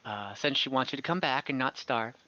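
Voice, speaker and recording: A man's voice: mid-range voice, brisk, sharp tone. A man narrating a tabletop game as game master in an informal, conversational way. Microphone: online voice call. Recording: slightly dull online call audio.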